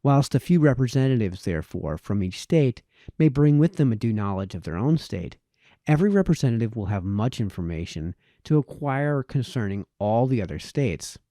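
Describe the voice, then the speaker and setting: A man narrates a formal essay in a mellow, relaxed voice of average pitch. His delivery is deliberate and expository, with lively rises and falls that pick out key phrases. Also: dry recording, studio quality. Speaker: reader, narrator. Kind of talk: reading a formal historical essay aloud.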